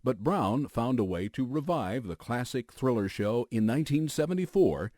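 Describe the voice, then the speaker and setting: A man's voice: mid-range voice, animated, normal speed, dark tone, no room sound, studio quality. An American man hosting a radio documentary, reading scripted narration as a news anchor would.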